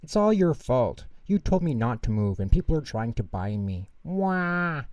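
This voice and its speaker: Guy doing a whiny little storybook girl, raised pitch, roomy and far off. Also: very animated, measured pace, a little mellow, muffled recording. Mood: indignant, whiny. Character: plaintive, excitable little girl in a bedtime story. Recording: roomy echo, distant mic.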